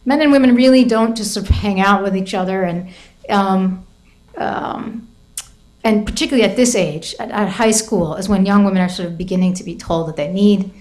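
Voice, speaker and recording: A woman's voice: medium pitch, moderate pace, darkish tone. A middle-aged woman giving an academic talk about her book, telling classroom stories with lots of hedging and restarts. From United States. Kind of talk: academic lecture with anecdotes.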